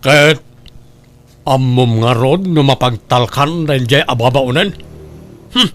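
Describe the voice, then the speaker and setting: A man's voice: fairly high pitch, close to an everyday voice. A man playing a stern, aggrieved middle-aged father in an Ilocano audio drama.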